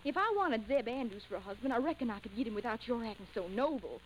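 An actress in an old radio drama playing a headstrong, loving young mountain sweetheart with a folksy rural Tennessee accent. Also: female voice, on the high side, expressive, fast talker, lightly acted. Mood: indignant. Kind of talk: 1940s radio drama acting. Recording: noisy old radio recording.

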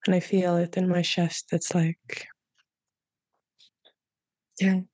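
A young woman speaking softly and hesitantly, sharing heartfelt reflections in a conversation. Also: on the low side, little pitch movement, takes it slowly, no echo. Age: young adult.

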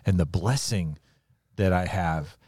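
Deep-voiced older man, chatting about faith. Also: takes it slowly, a little mellow, no room sound, clean studio sound. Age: 60s or older. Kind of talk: casual religious conversation with personal testimony.

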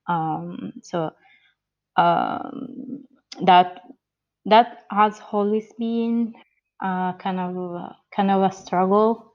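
A woman in her thirties with an Italian accent, a guest on an interview podcast who answers slowly and hesitantly, with many pauses and restarts. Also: medium pitch, highs cut off. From born in the US, grew up in Italy.

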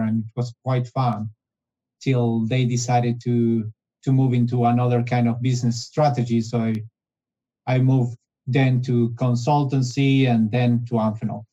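Man with a mellow, halting voice. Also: mid-range voice, level tone, muffled recording. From Argentina. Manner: halting, restarts phrases often. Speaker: guest, business development director. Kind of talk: casual interview conversation.

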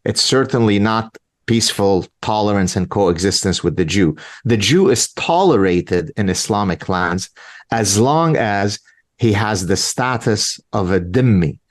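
A man's voice: on the low side, expressive, a little slow. A man from Lebanon, a native Arabic speaker in his fifties, answering in an interview in an emphatic, lecturing way with rhetorical questions. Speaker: guest commentator.

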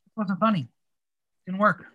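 A man's voice: high voice, moderate pace, dull audio. Male recap host, conversational, dry delivery, leaning on repetition for emphasis. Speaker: podcast host recapping a TV episode. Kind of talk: casual recap commentary.

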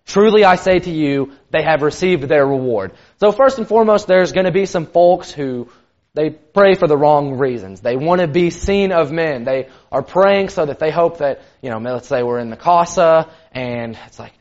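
A man giving a sermon in a loud, carrying voice, casual and conversational with lots of "kind of". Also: a little high, moderate pace. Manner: loud voice that carries; conversational, many fillers like "kind of". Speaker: preacher. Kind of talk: sermon teaching. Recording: dull lo-fi recording.

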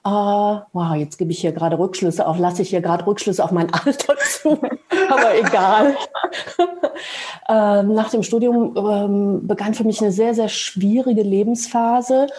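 A woman's voice: mid-range voice, measured pace, a little mellow. A woman in her sixties with a Rhineland German accent, a podcast guest recounting her path to meditation thoughtfully, with frequent hesitations. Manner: hesitant, many fillers, thoughtful. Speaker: guest, meditation teacher and interpreter. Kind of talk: reflective personal account in a podcast.

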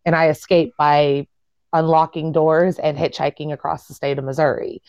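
A woman's voice: sits low, little pitch movement, moderate pace, darkish tone, dry recording. A woman in her thirties, a former student, urgently telling her story in run-on sentences over a dull, lo-fi call line. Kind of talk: casual personal account.

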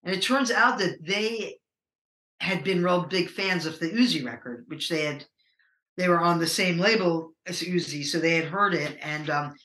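A middle-aged American woman with a low voice, a musician talking casually in an interview, rambling and hesitant with many fillers. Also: moderate pace, a bit bright, roomy sound, rough recording. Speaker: musician guest.

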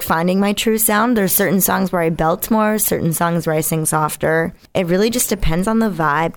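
A woman with a naturally raspy voice, a singer being interviewed, talking casually in a candid, reflective way. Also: on the low side. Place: radio studio.

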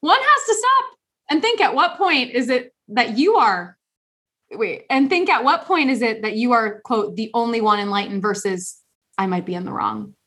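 A woman hosting a casual podcast chat, talking in an animated, rambling way with many restarts. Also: on the high side, normal speed. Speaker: podcast host.

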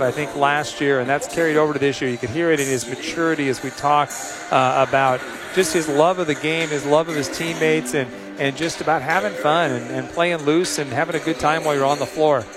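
A middle-aged American man introduces a basketball game, his voice a little hoarse. He rambles through the pregame notes with lots of fillers and restarts. Music plays clearly behind him. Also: fairly high pitch. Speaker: play-by-play announcer. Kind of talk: pregame sports broadcast commentary. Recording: music in the background.

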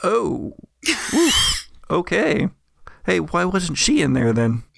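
A man acts out a brash young hanger-on in a tabletop roleplay. The talk is slangy and nosy, a little higher in pitch, with a creaky grain and very little room sound. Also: huge pitch swings, slow pace, fairly bright tone, no room sound, clean studio sound, heavily acted. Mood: curious.

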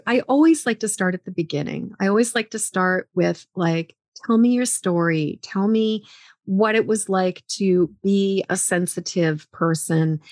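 A woman's voice: lowish voice, no echo, studio quality. A woman hosting a podcast interview, chatty and enthusiastic, rambling with lots of fillers. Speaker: podcast host. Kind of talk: casual interview chat.

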